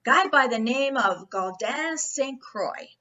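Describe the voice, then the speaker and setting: Woman as sly radio-drama police captain, brash, folksy, high bright voice, unhurried interrogation. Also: expressive, dull audio, full character voice. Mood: sly, mock-casual. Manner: mock-casual, probing, insinuating questioning. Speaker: actor in an amateur radio play. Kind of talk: old-time radio detective acting.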